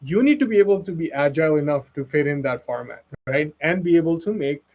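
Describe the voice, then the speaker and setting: A man's voice: a little high, animated, fast talker, a little mellow. Earnest man from Pakistan. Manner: earnest, persuasive, fillers like "right?" and "like". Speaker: expert guest. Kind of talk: casual webinar conversation.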